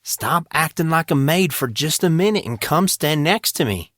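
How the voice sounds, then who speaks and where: Young male lord man acting, raised voice pitch, impatient, commanding, audiobook fantasy. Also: expressive, normal speed, fairly bright tone, dry recording, clean studio sound.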